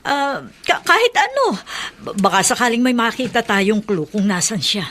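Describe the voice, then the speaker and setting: An eager young woman who stammers as she hunts for clues in a radio drama. Her voice is fairly high and very bright, with lively rises and falls. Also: normal speed. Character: Olivia, worried young woman searching for clues.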